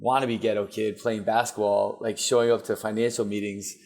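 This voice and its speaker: A man's voice: lowish voice, flat delivery, fairly quick, darkish tone. Man with a slight New York-like accent. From Milford. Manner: loose, conversational, with fillers. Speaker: guest, fitness coach. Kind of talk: casual interview storytelling.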